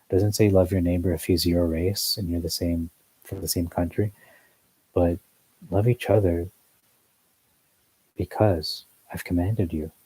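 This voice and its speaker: Man, deep husky voice, dry close sound, earnest rambling religious commentary. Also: dark tone, no echo, low-quality recording. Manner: earnest, rambling, with frequent fillers. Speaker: newsletter commentator.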